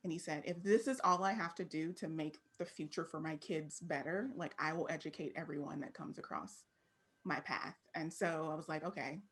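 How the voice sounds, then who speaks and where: Low-pitched American woman, candid and informal. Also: normal speed, a bit bright, husky. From Fort Worth, Texas, United States. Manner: candid, informal, frequently laughing. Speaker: interview guest, creative professional. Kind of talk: casual interview conversation.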